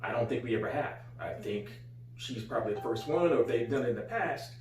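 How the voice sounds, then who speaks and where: A man's voice: medium pitch, animated, quick pace, distant mic. A middle-aged man with an American accent chatting casually as a co-host, repeating phrases for emphasis. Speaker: co-host, a father. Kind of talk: casual chat. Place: small living room, on a couch. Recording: roomy, off-mic, faint hum.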